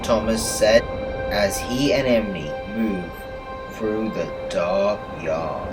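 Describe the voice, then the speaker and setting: A man reading a children's story aloud as a read-along, in a deliberate, expressive storytelling manner. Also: lowish voice. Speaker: storybook narrator. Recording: music bed behind the voice. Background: music.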